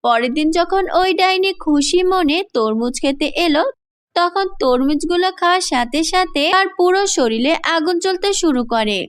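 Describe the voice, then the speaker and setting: High-voiced woman narrating a children's story. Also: expressive, moderate pace, dry recording, clean studio sound.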